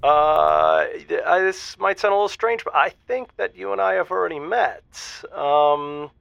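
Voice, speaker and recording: A man playing a persistent, overconfident lovestruck radio caller, halting yet self-assured, in an audio drama. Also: sits high, creaky, no room sound, exaggerated voice. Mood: hopeful. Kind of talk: semi-improvised audio drama phone-in. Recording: slightly dull, band-limited call audio.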